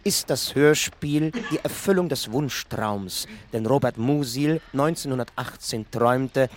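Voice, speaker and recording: A man narrates a scripted radio piece like a lecture. His voice is mid-pitched, with faint noise behind it. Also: measured pace.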